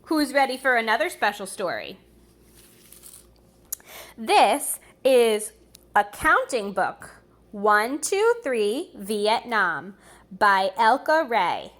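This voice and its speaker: A woman with a high voice reads a picture book to small children. She takes her time, pausing over words and adding small asides, with an expressive teacherly delivery. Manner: slow, deliberate, expressive, pausing over words for young listeners. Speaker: preschool teacher reading aloud. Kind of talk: animated children's read-aloud with asides.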